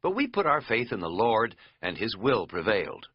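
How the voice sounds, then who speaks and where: A man's voice: lowish voice, sing-song, normal speed, slightly rough, no echo, highs cut off. A man voicing an earnest, devout young male hiker speaking plainly.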